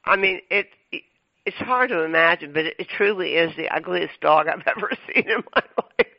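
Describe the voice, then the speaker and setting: A man hosts a radio interview in a somewhat high, gravelly voice. He stammers and restarts his questions and laughs often. The sound is dry and muffled. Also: brisk, dry recording. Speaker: radio show host.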